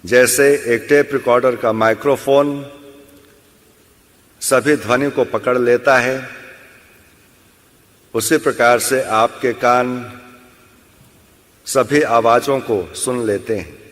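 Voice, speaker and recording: A man with a mid-pitched voice guides a meditation very slowly. He gives measured instructions and leaves long pauses between phrases. His voice rings in a long, hall-like reverb. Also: fairly even intonation. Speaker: yoga nidra instructor.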